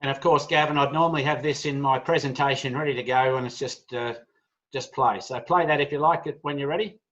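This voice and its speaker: A middle-aged man with an Australian accent talks business in a relaxed, rambling way, peppering his sentences with "uh". His voice sits at an ordinary pitch. The audio is dull and lo-fi, as if from a video call. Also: a little fast. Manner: chatty, many "uh" fillers, friendly asides to the audience. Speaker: business speaker.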